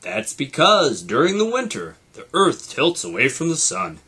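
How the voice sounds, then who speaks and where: A man's voice: fairly high pitch, wildly swooping pitch, moderate pace, pushed and bright, husky, pitched above the performer's own voice, heavily acted. A man voicing a helpful, knowledgeable talking airplane radio that acts as a guide in a children's storybook. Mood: informative.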